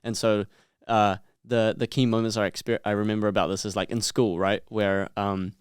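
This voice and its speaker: A man's voice: lowish voice, level tone, moderate pace, smooth, no echo, studio quality. A young man, a podcast guest, talking in an easygoing, hesitant way. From New Zealand. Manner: easygoing, hesitant, lots of fillers. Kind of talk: casual chat.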